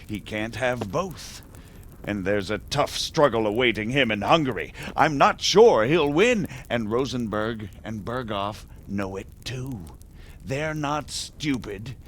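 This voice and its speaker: An actor playing a shrewd, persuasive medieval margrave with an emphatic, aristocratic manner in a game cutscene. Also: male voice, mid-range voice, animated, slow pace, fairly bright tone, a little hiss or hum. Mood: confident. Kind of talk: dramatic game cutscene acting.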